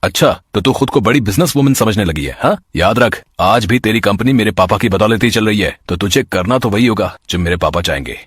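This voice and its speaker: A husky, low-voiced spoiled rich bully, played by a man, in a dry studio sound. He is arrogant, mocking and menacing, a storybook villain. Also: lively intonation, a little fast, hardly any pauses, dry recording. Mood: smug, menacing.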